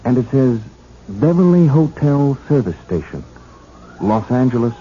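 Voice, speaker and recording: Radio-play detective, acted by a man: shrewd, folksy, deliberate and needling, very animated melody, dull vintage broadcast sound. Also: medium pitch, close to an everyday voice. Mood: triumphant, accusing. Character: shrewd, folksy male detective confronting suspects. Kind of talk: 1970s radio drama acting. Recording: muffled, hissy 1970s radio recording.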